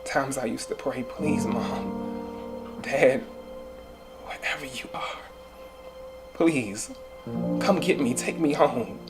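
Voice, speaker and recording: A man playing a wounded young man in a film drama, abandoned as a baby. He speaks in a guarded, sardonic and accusing way. Music plays clearly behind him. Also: medium pitch, lively intonation, moderate pace, close to an everyday voice. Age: young adult. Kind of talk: dramatic screen acting.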